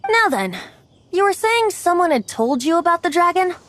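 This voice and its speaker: A woman's voice: very high voice, very animated, normal speed, a bit bright, exaggerated voice. A woman voicing a youthful, boyish male bard in a video game, whimsical and theatrical, with a playful, wistful lilt. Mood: musing. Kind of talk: video game character acting. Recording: music behind the voice.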